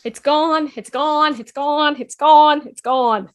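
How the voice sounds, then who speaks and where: A woman's voice: high-pitched, fairly even intonation, slow. A woman playing a shaken, helpless male time-travelling alien hero in an amateur drama read over a video call.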